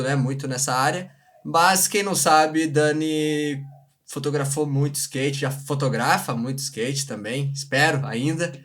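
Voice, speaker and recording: A man's voice: fairly high pitch, fairly bright tone. A man with a Brazilian accent hosting a casual livestream chat, informal and slangy, often repeating himself. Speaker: live show host. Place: remote video live stream from separate location.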